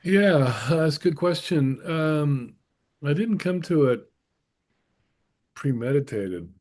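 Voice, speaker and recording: A middle-aged man from the United States talks as an interview guest. He answers thoughtfully in a conversational way, pausing with fillers as he works out his points. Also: on the high side, expressive, darkish tone. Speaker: guest scholar.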